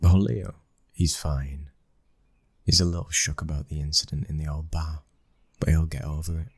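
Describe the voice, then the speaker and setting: A man with a British accent acting as a brooding, protective young mafia boss's son in an intimate ASMR boyfriend roleplay, speaking in a husky, hushed, intense way that often nears a whisper. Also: extremely low pitch, fairly even intonation, moderate pace, no echo, full character voice. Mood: firm, protective.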